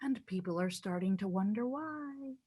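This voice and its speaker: An actress playing a pointed, teasing voice in a collector's head, emphatic and deliberate, in a staged reading. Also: female voice, mid-range voice, expressive, mellow, slightly rough. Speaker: actor in a staged play reading. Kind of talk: theatrical staged reading, comic dialogue.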